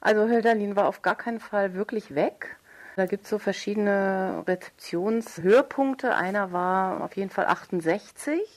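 A woman's voice: medium pitch, moderate pace. A woman giving spontaneous, articulate and thoughtful explanations as an interview guest.

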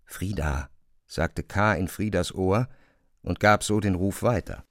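A man narrating a literary radio drama in a measured, serious manner. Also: low voice, fairly bright tone, no echo, clean studio sound.

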